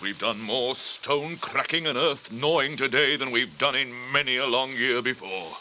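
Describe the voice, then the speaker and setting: An actor in a radio drama playing an ancient, grave tree creature who speaks ponderously with drawn-out hums. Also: male voice, mid-range voice, bright, forceful tone, a little hiss or hum. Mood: weary. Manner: ponderous, deliberate, halting. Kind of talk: 1980s radio drama acting.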